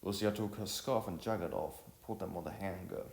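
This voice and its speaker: A man's voice: sits low, vocal fry, a little mellow. A man reading a scripted story as an amateur narrator, stumbling and repeating words as he goes. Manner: halting read with stumbles and repeats. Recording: roomy echo with music behind. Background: music.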